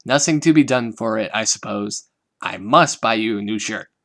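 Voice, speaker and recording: A man's voice: lowish voice, normal speed, vocal fry, sharp tone. A man voicing a refined, theatrical teenage girl who sighs dramatically, in a fan-made audio reading. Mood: put-upon. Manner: prim, theatrical sighing.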